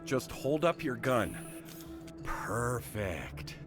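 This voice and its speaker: An actor playing a gushing, starstruck fan in a video game, excitable and full of admiration. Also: male voice, medium pitch, drawn-out words, music in the background. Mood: eager, delighted. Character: gushing, starstruck male fan of a war hero. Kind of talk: video game dialogue acting.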